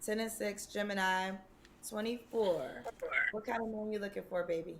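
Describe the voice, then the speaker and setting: A woman's voice: mid-range voice, moderate pace, very noisy. A woman from Connecticut hosting a dating show, interviewing a caller in a familiar way and calling her baby and honey. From Connecticut, USA.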